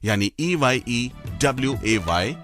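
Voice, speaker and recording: A man's voice: medium pitch, measured pace, music in the background. A man presenting a radio show, reading scripted links and information to listeners.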